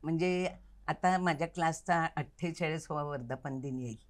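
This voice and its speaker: Low-pitched older woman, earnest. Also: moderate pace. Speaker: interview guest, Kathak dance teacher. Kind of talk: reflective interview talk. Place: recording studio.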